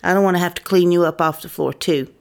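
A woman's voice: fairly low pitch, quick pace, creaky, slight background noise. An actress playing a tough diner woman who speaks in a stern, down-home way.

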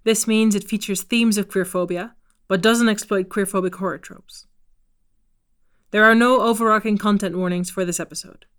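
A woman announcing a fiction podcast in measured, scripted narration. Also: mid-range voice, fairly even intonation, a bit bright.

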